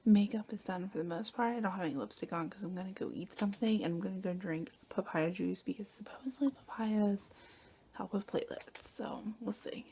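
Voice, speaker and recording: A young woman talking softly in a personal video diary, often hushed and breathy, with sighs between phrases, sounding congested from a cold. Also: medium pitch, a little fast, slight background noise. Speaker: vlogger.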